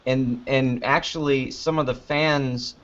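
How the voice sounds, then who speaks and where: A man's voice: medium pitch, fairly even intonation, slow pace, a bit bright. A man chatting casually as an interview guest, rambling a little as he thinks aloud. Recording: dull lo-fi online call audio.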